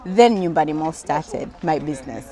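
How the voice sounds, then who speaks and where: A woman's voice: low-pitched, animated, moderate pace, mellow, some chatter behind. A woman with a Tanzanian accent answering questions in a casual interview, with a playful, effusive manner. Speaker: interview guest, businesswoman and engineer.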